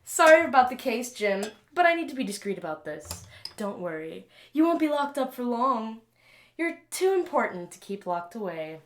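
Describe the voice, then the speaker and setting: A woman's voice: on the high side, very animated, normal speed. A woman playing a smug, scheming inventor villain in an audio drama, gloating with mock sympathy. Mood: smooth, scheming.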